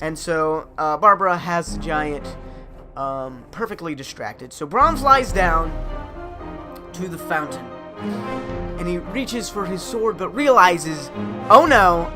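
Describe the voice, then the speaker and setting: A man improvising a dramatic adventure story as a theatrical storyteller, swinging from hushed to loud. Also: fairly high pitch.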